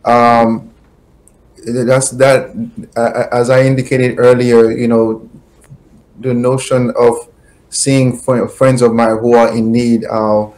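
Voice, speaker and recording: A middle-aged man from Haiti answering interview questions slowly over a video call, pausing often with fillers. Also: medium pitch, flat delivery, darkish tone, rough recording. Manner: slow, halting, many fillers. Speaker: guest, attorney. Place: home office, indoors. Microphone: webcam video call.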